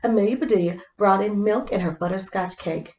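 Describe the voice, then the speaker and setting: A woman's voice: medium pitch, fairly quick, a little mellow, reverberant, highs cut off. A woman reading a children's story aloud, with explanatory asides to young listeners. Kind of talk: scripted storybook narration with simple asides.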